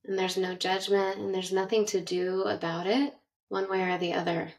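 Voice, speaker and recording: A woman guiding a meditation with gentle, measured instructions and long pauses, speaking in narration style. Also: medium pitch, level tone. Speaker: meditation guide. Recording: roomy echo, distant from mic.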